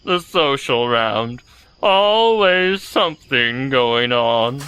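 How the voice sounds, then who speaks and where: A man playing a gloomy, self-pitying donkey who grumbles pompously in a slow, halting, sardonic way in an audio storybook drama. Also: medium pitch, lively intonation, bright, forceful tone, a little hiss or hum, heavily acted, poor audio. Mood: self-pitying, dismissive.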